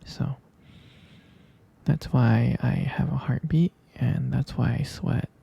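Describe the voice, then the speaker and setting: A man playing a gentle, sleepy half-human vampire boyfriend in an ASMR roleplay, murmuring hesitant, tender reassurance close to the mic in a soft, breathy whisper. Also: mid-range voice, steady tone, takes it slowly, full character voice. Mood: sleepy, explaining.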